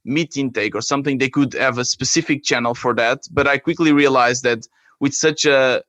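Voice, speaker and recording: A man from Portugal with a Portuguese accent, explaining his work haltingly as a guest in a conversational interview. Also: medium pitch, fairly bright tone, dry recording. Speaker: app creator, podcast guest.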